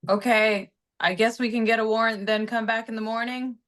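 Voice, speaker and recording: A woman's voice: on the high side, fairly even intonation, normal speed, fairly bright tone, close to an everyday voice. A woman playing a worried, eager junior police officer in a screenplay table read. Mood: resigned.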